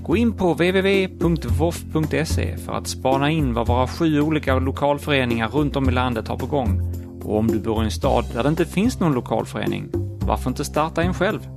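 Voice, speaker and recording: A man's voice: medium pitch, animated, brisk, creaky. A Swedish man with a Swedish accent, reading a scripted promotional announcement for an association. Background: music.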